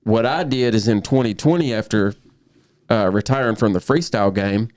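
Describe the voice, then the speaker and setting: Man, 30s, low voice, earnest, storytelling, restarts sentences, podcast guest. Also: normal speed, darkish tone, no echo, clean studio sound. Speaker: professional bullfighter guest. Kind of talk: casual podcast conversation.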